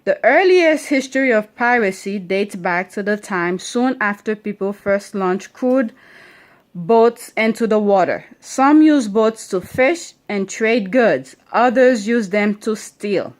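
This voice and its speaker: A woman's voice: mid-range voice, expressive, takes it slowly. A woman with an accent reading a history book aloud to her children at home, stretching key words and stopping often with "okay?" to check they understand. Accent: non-standard English accent.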